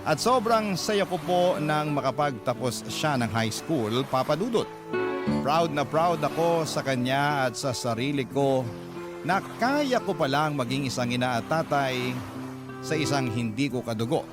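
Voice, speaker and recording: Man as heartfelt Filipino storyteller over loud music. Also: mid-range voice, lively intonation, measured pace, natural voice. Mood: proud, tender. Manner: earnest, confiding. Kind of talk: first-person radio drama narration. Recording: loud music bed behind narration.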